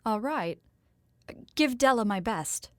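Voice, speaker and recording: A woman's voice: a little high, expressive, takes it slowly, no echo, clean studio sound. A woman playing a concerned, questioning 1920s mother, mildly exasperated, in a radio drama. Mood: concerned, suspicious.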